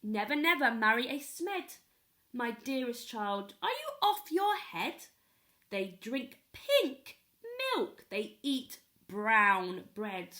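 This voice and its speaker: Storybook alien grandmother, performed by a woman: elderly, indignant, higher-pitched, emphatic, measured scolding. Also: big pitch swings, sharp tone, exaggerated voice. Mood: outraged. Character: stern indignant old female alien grandmother, disapproving. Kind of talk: children's storybook read-aloud.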